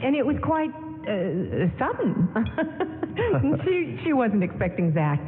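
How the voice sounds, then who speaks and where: A woman playing a gentle, slightly nervous young woman in a 1940s-style radio drama. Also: on the high side, very animated, slow pace, faint music behind. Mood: flustered. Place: live on stage in a town hall theatre. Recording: thin phone-like sound, echoey hall, noisy.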